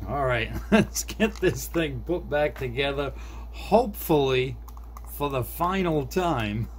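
A man's voice: medium pitch, animated. A middle-aged man talking casually and unhurriedly, half to himself, as he demonstrates a hands-on repair at a desk. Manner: unhurried, muttering to self while working. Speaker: hobbyist repair video host. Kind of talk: casual hands-on demonstration, narrating as they work. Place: small indoor desk workspace. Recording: faint background noise.

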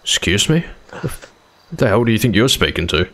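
A man's voice: fairly low pitch, big pitch swings, normal speed, a bit bright. A man playing a suspicious, demanding drifter in an improvised game roleplay, questioning in blunt, terse phrases. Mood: indignant.

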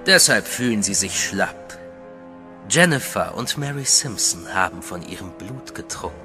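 A man voicing a sinister, calm vampire master in a radio horror play, cold and measured, sometimes whispering, with faint music behind. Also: lowish voice. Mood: menacing. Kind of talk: German radio horror drama.

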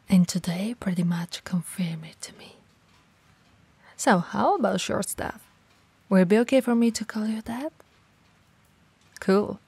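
A woman playing a tall, cool, flirty shop owner in a soft, breathy, intimate ASMR roleplay. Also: medium pitch, dry recording, heavily acted. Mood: tender, flirty. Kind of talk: ASMR romantic roleplay acting. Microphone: close mic, dry.

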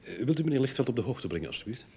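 Zelfverzekerde, droge speurder, levendige intonatie. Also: male voice, medium pitch, very animated, racing, creaky, darkish tone, breathy edge, full character voice. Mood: polite, insistent. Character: self-assured, dry, pipe-smoking male investigator. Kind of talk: old radio drama acting. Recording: noisy old radio play recording.

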